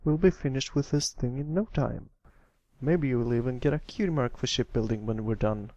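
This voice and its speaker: A kindly older brother pony, voiced by a man reading aloud, talks in a medium-pitched, relaxed voice. He is encouraging and easygoing, with a dry, close sound. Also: normal speed, no echo, dull audio, natural voice.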